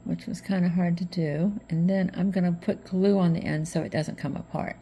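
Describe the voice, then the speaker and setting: Middle-aged female craft host, slightly hoarse, relaxed chatty tutorial delivery, warm low tone. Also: moderate pace, a little hiss or hum. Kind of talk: casual craft tutorial narration.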